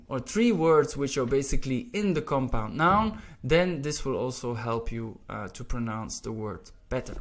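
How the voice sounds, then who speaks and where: Flemish man teaching Dutch pronunciation. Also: on the high side. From Belgium. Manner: patient, repeats words slowly, says "all right" often. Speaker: language teacher. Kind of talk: pronunciation lesson.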